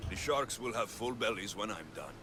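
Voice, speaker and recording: A man's voice: fairly low pitch, animated, moderate pace, close to an everyday voice. A man playing a cool, self-assured mercenary hero. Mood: steady. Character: confident young male Greek mercenary hero. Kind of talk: video game cutscene acting. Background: orchestral score.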